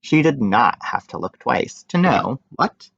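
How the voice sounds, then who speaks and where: A man giving an animated, expressive read-aloud of a children's picture book, with informal asides. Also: mid-range voice, measured pace, vocal fry, slightly rough, no echo, highs cut off.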